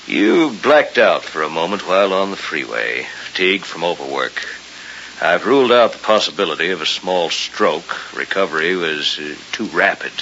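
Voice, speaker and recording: Brisk, sceptical, animated radio doctor. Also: male voice, low voice, heavily acted. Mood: clinical, reasoning. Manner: probing, authoritative, clinical, emphatic. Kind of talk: old-time radio mystery acting. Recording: hissy, dull old radio recording.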